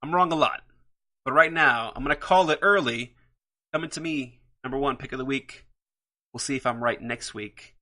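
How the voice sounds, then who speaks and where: Bright-voiced enthusiastic male podcast host. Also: mid-range voice, moderate pace. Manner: enthusiastic, rambling with fillers. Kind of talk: solo podcast comic book picks.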